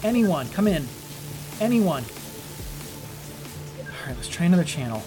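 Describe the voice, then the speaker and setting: Man acting as stranded starship engineer, highish voice, halting, wry, self-talking, sci-fi audio drama, prominent music underneath. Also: big pitch swings. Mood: uneasy, searching. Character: rattled, sarcastic male starship chief engineer, stranded alone.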